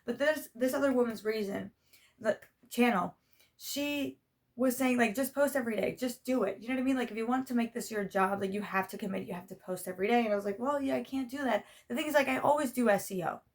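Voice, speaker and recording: A young adult woman chatting casually in a vlog, with a slightly raspy voice and a rambling, self-interrupting manner. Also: on the high side, fast. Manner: rambling, self-interrupting, frequent check-in tags. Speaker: vlogger.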